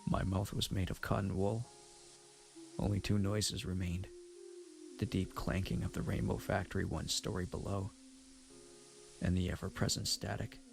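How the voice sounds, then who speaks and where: A man's voice: deep voice, little pitch movement, moderate pace, vocal fry, pauses often, over music. A man playing a guilt-ridden, resigned pony, narrating an audio drama in the first person with grave sorrow. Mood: fading, consoling. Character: guilt-ridden adult pony factory worker, ashamed, resigned.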